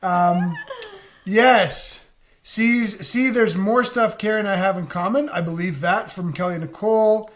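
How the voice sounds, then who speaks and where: A man with a noticeably high-pitched voice co-hosts a casual live stream. He is emphatic and talks straight to viewers like a hype man. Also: a little slow. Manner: emphatic, hyping up the audience. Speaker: co-host of a live online relationship show.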